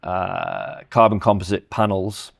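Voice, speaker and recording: Male product specialist, low register, explains engineering step by step as he demonstrates. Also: wildly swooping pitch, a little slow, creaky. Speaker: company representative presenting audio products.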